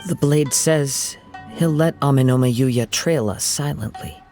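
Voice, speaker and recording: A man performs a young samurai wanderer from a video game. His soft voice sits at a middle pitch and stays serene, formal and measured, while game music plays clearly behind it. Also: close to an everyday voice. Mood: composed. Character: calm young male wandering samurai, poetic and composed. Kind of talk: English video game voice acting.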